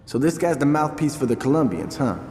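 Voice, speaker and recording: A man's voice: a little high, lively intonation, brisk, noisy background, lightly acted. An actor playing a cautious male gang lieutenant who plans carefully, in a video game cutscene.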